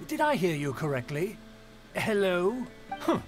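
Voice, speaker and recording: A man's voice: sits high, big pitch swings, measured pace. A man voicing a pompous, scornful nobleman in a video game, with florid formal speech. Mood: haughty. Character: pompous, haughty nobleman of a declining aristocratic clan. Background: game music.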